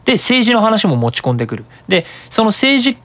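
A man hosting a web radio show, explaining his opinions in a casual, animated monologue. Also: sits high, brisk.

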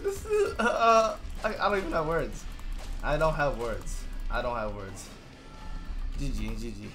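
A young man giving loose, excitable commentary over his own gameplay, swearing freely and going hoarse from losing his voice. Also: medium pitch, measured pace, soft background music. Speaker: gaming YouTuber.

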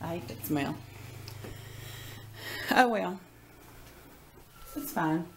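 A woman's voice: lowish voice, expressive, drawn-out words. A woman, chatting and laughing in a casual travel vlog, recorded on a phone held at arm's length inside a moving car. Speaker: vlogger. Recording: road noise from a moving car.

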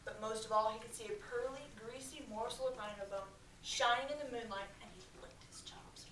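An American woman reads a story aloud in a high, projected schoolteacher voice. She is expressive and breaks off to question her pupils. She is picked up from across an echoey classroom with faint noise behind her. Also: fairly quick, recorded from a distance. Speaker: elementary school teacher. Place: medium-sized school classroom, indoors. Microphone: far camera.

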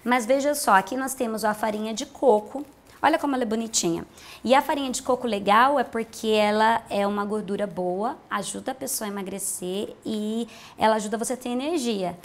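A woman's voice: on the high side, fairly quick. A woman in her thirties with a Brazilian accent, a nutritionist explaining diet in a TV health interview, patient and plain-spoken, on a lapel mic. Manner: explanatory, patient, uses simple comparisons. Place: bright room with large windows.

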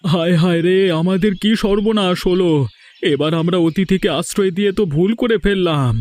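A man's voice: high-pitched, normal speed, a little mellow, lightly acted. A man voicing troubled, earnest village men in a Bengali cartoon. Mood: distressed, angry. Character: village men, apologetic guest and aggrieved householder. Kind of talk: dubbed Bengali cartoon dialogue.

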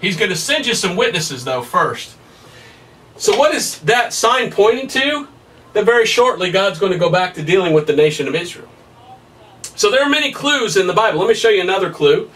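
A man in his thirties preaching a Bible study at a whiteboard, emphatic and excitable, his voice somewhat hoarse. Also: sits high, a little fast, far from the mic, slight background noise, rough recording. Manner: emphatic, rising to excited peaks, laughs at his own points. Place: small room in front of a whiteboard. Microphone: camera at a distance.

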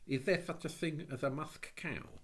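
A man's voice: fairly low pitch, very animated, normal speed, husky, full character voice. A man voicing a sensible, anxious schoolgirl in a storybook, earnest in manner. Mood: mournful, anxious. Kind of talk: storybook reading.

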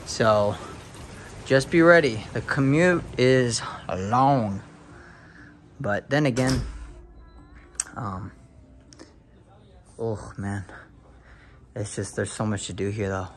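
A young man filming a casual home tour on a handheld phone, talking to viewers with excited exclamations and lots of fillers. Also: on the low side, slow, pauses often, slight background noise. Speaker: real estate video host. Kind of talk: casual walkthrough narration. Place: inside a large empty house.

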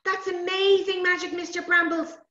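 A woman voicing a curious, eager young girl with an excited way of talking. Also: very high-pitched, normal speed, pitched above the performer's own voice. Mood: amazed. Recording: roomy, dull-sounding recording.

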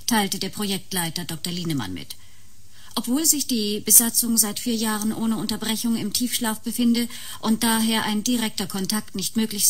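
An actress playing a formal news announcer, reading a measured bulletin in a science-fiction radio drama. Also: female voice, medium pitch, close to an everyday voice.

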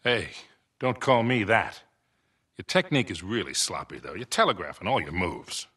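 An actor playing an unflappable middle-aged con man in a video game cutscene, husky, wry, needling and unhurried. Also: on the low side, very animated, pushed and bright, exaggerated voice.

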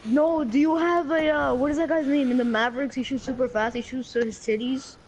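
A young boy in fifth grade chatting over game voice chat, excitable and giggly, often stumbling over his words. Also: very high voice, a little fast, dull audio, a crowd in the background. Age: child. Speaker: online game player. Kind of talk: casual voice chat while gaming.

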